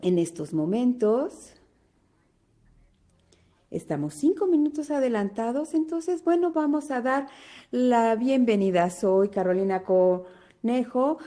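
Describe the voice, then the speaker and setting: Argentine lady hosting a video call webinar, higher voice, takes her time saying hi to everyone. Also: dark tone. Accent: Argentine Spanish. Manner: unhurried, greeting attendees one by one. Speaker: webinar host. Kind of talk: live webinar welcome.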